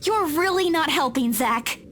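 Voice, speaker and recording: A woman's voice: high-pitched, expressive, measured pace, fairly bright tone, slight background noise. A woman playing a timid, polite, easily spooked young woman in a visual novel. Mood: nervous.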